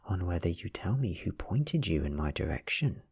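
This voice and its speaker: British man reading as a coolly menacing ancient vampire woman, husky. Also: low voice, sing-song, normal speed, exaggerated voice. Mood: cool menace. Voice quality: soft, breathy. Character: ancient, beautiful female vampire, cool and menacing. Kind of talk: soft-spoken ASMR storybook reading.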